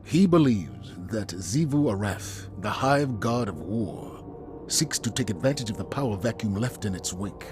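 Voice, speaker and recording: A grave game commander with a lowish voice. He briefs his soldier slowly and deliberately, solemn and weighty, with music playing underneath. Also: animated. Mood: grave, urgent. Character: grave veteran military commander giving a mission briefing. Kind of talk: video game cutscene briefing.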